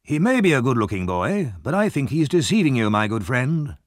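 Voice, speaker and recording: A man voicing a fierce, argumentative old gentleman in a storybook, scornful and challenging. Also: medium pitch, big pitch swings, brisk, dry recording, studio quality, voice pushed up, lightly acted. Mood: sly doubt. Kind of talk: audiobook character reading.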